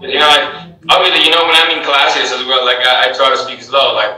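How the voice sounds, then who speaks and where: A man's voice: mid-range voice, flat delivery, fairly quick, pushed and bright. Echoey, distant man hosting a live chat. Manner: heavy fillers, repeats words. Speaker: host and English teacher. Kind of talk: casual live-stream interview. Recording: echoey room, distant from mic.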